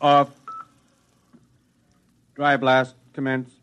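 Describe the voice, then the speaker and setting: A man playing a brisk, self-assured executive of the future in a 1950s radio drama, clipped, formal and commanding. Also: mid-range voice, monotone, a bit bright, lo-fi sound, a little hiss or hum.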